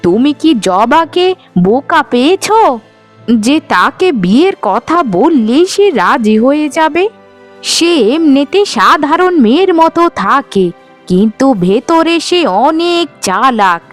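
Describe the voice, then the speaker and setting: A woman's voice: sits high, very animated, slow pace, soft background music, heavily acted. A woman voicing a cruel, scheming stepmother in a cartoon, with harsh, scornful scolding. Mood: calculating. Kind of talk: melodramatic Bengali cartoon dialogue.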